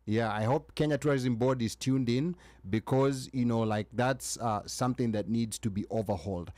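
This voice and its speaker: A man's voice: medium pitch, normal speed, a little mellow, no room sound. A man hosting a talk show, moderating and asking long questions with many "you know" fillers. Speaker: host.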